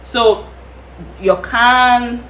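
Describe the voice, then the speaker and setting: A woman's voice: on the high side, steady tone, drawn-out words, a bit bright. Nigerian lady chatting away, lots of um and like, roomy echo, bit of hiss. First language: Yoruba. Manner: chatty, lots of fillers and frequent laughter. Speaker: host. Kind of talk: casual chat.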